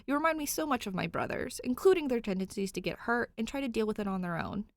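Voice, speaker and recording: A woman's voice: a little high, expressive, fast talker, vocal fry, dry recording, voice pushed up. Woman voicing a protective, earnest young policeman. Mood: pleading. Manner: placating, worried, pleading. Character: caring young male cop, protective, earnest and apologetic. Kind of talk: character voice in fan fiction audiobook.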